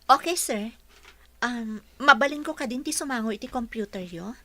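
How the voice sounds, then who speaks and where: A woman's voice: fairly high pitch, moderate pace, bright, forceful tone. An actress with a Filipino Ilocano accent playing a polite, eager young woman seeking office work in a radio drama. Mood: earnest. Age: young adult. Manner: polite, deferential. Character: polite, eager young female job applicant and dutiful daughter.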